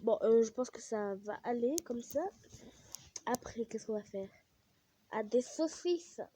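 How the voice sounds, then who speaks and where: A woman's voice: on the high side, a little slow, dark tone, slight background noise. A young woman with a cold chats casually and rambles with many fillers while she plays a game. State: has a cold, coughing. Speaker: gaming vlogger.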